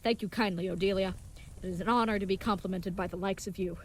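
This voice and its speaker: A woman's voice: medium pitch, fairly even intonation, fast talker, slight background noise, lightly acted. A woman playing an earnest, naive and brave young leatherworker in an audio drama, speaking in formal, chivalrous, contraction-free phrases. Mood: gallant, confident. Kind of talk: scripted audio drama acting.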